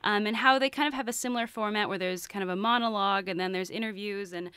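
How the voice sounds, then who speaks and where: A woman's voice: medium pitch, quick pace, pushed and bright, clean tone, no echo. An American woman hosting a conversational radio interview, asking long, meandering questions.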